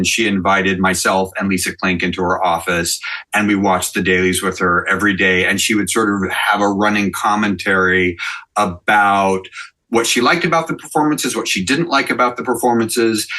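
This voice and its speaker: A man who writes for television, reflecting on past experiences in a halting, searching way during a podcast conversation. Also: low voice, steady tone, pushed and bright. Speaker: television writer, panel guest.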